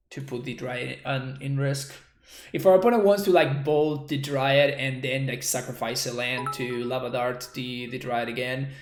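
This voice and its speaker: A young man from Argentina with an Argentine Spanish accent. He is a streamer giving relaxed, chatty commentary on his own gameplay and thanking viewers for their chat messages. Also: mid-range voice, gravelly, reverberant.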